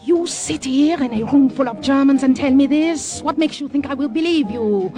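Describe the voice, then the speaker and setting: An actress playing a jaded, wary young café singer in a 1950s radio drama. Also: female voice, sits high, normal speed, natural voice. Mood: suspicious. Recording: old radio broadcast with music behind.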